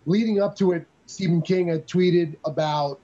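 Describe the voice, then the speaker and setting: A man co-hosting a casual movie review, telling behind-the-scenes stories in an animated way. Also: high-pitched, moderate pace, highs cut off. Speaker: podcast co-host.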